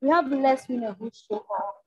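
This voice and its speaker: A woman's voice: sits high, normal speed, a little mellow, slightly rough, dry recording. A young Nigerian woman who leads a campus group, speaking earnestly on a panel over a video call, urging and repeating phrases for emphasis. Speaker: panelist, leader of a campus organization. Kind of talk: impassioned panel talk. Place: indoor room at home. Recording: muffled lo-fi video call audio.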